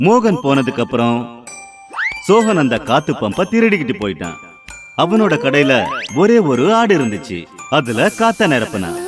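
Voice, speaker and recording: A man narrating a scripted moral story for children. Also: a little high. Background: music.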